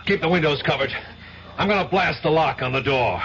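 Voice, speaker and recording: Urgent cop barking orders, higher voice, hissy old radio sound. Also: male voice, a little fast, vocal fry, highs cut off. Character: male police officer leading a raid, urgent. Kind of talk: 1970s radio drama acting.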